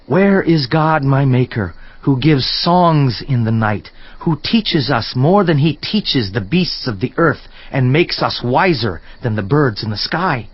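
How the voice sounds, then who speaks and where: A man's voice: medium pitch, lively intonation, measured pace, hardly any pauses, husky, dry recording. An actor playing an earnest, indignant biblical man, declaiming in an oratorical dramatized scripture reading with rhetorical questions. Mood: reproving, questioning. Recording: dull lo-fi audio with music. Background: background music.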